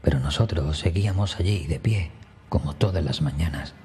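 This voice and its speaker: Very deep-voiced man narrating horror over music. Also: moderate pace, warm tone. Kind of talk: scripted creepypasta narration, told in first person.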